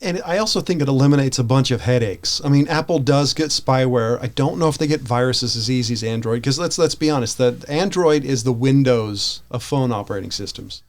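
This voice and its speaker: An American man with a mid-pitched voice chats casually as a podcast co-host. He rambles a little, hesitating and correcting himself as he thinks a point through. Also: a little fast, few pauses. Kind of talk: casual tech discussion.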